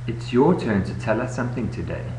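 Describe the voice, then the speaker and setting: A man's voice: medium pitch, sing-song, brisk, warm tone, lightly acted. A man voicing a patient, encouraging female schoolteacher in a children's storybook, with a coaxing manner. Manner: coaxing, prompting. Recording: echoey room, noisy webcam audio with hum.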